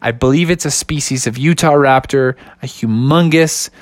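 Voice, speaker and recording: A man's voice: medium pitch, normal speed, no echo, natural voice. A man voicing a laid-back young bear who is an earnest dinosaur enthusiast, with slangy dude talk. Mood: proud.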